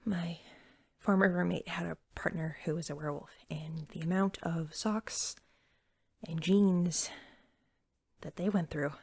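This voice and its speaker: A woman doing soft-spoken ASMR roleplay as a helpful shop cashier, gentle, breathy and chatty, sometimes slipping toward a whisper. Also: lowish voice, steady tone. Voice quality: soft, breathy, with slight fry. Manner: gentle, unhurried, chatty shop-clerk patter. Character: eager, helpful young female pet store cashier.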